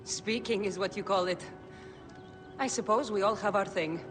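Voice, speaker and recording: A sly brothel keeper from an ancient-world video game, played by a male actor. He speaks high and teasingly, always selling. The voice rings in a long hall reverb over clear music. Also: steady tone, moderate pace, close to an everyday voice. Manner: salesmanlike, teasing.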